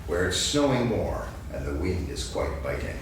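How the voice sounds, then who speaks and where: A man's voice: low voice, sing-song, normal speed. A man acting as storybook narrator, reading scripted stage directions in a staged reading. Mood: narrating. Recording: echoey, noisy distant stage recording.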